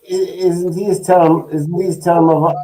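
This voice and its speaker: Mellow-voiced man hosting casual sports chat. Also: high voice, little pitch movement, takes it slowly, low-quality recording. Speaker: sports show host.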